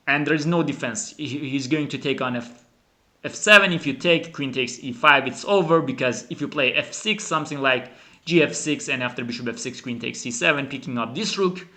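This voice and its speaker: A man who is a non-native English speaker gives animated, emphatic chess commentary as a teacher, dramatizing the game. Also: on the high side, normal speed. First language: not English. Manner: dramatic, emphatic, acts out the players' thoughts. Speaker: chess teacher and channel host.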